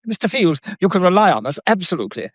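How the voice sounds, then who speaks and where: Quick-talking obsequious gallery manager. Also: male voice, high voice, sing-song, slightly rough, no room sound, exaggerated voice. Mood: placating. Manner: effusive, placating. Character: smoothly apologetic, obsequious art gallery manager, man. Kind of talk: radio drama acting. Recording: dull, muffled radio play recording.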